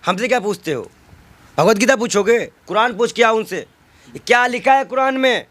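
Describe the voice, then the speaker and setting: A man's voice: extremely high pitch, moderate pace, fairly bright tone, no room sound. A man in a heated political interview, arguing emphatically with rhetorical questions and repeated phrases. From North India.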